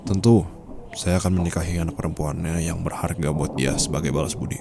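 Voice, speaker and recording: Guy acting as flirty bossy office boss guy, asmr roleplay, deep fry in his voice, teasing and possessive, bg noise going. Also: warm tone. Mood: earnest. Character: teasing, possessive male boss confessing love to his employee. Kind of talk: intimate romantic ASMR roleplay. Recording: noticeable background noise, ambient sound effects.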